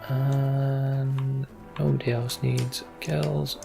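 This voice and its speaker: A man's voice: mid-range voice, level tone, extremely slow, vocal fry, music in the background. A Scottish man hosting a let's play, mixing casual commentary with dramatic reading of the story text. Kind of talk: game commentary and dramatic narration of on-screen text.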